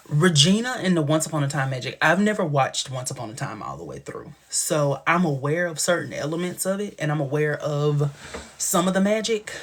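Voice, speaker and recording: A woman in her thirties hosting a casual livestream, chatting in playful, affectionate slang with viewers and a guest. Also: low-pitched. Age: 30s. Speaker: livestream host.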